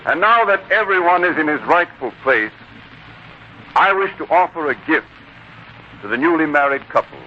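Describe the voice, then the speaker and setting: A man's voice: fairly high pitch, expressive, normal speed, long pauses, sharp tone. An actor playing a gracious, generous man at a biblical wedding feast, formal and ceremonious, in 1940s radio style. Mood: magnanimous. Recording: muffled, noisy 1940s radio broadcast.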